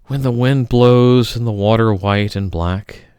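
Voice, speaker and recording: A man giving a theatrical, melodramatic poetry reading, swinging between loud outbursts and hushed murmurs. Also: medium pitch, measured pace, clear, resonant tone, no room sound, studio quality. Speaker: poetry reader.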